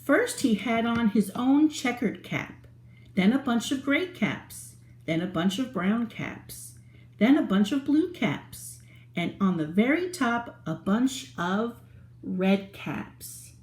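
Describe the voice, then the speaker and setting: A woman's voice: fairly high pitch, lively intonation, takes it slowly, a little mellow, echoey room. A woman reading a picture book aloud to young children in a playful, sing-song way, stopping to ask them questions. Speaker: children's storyteller.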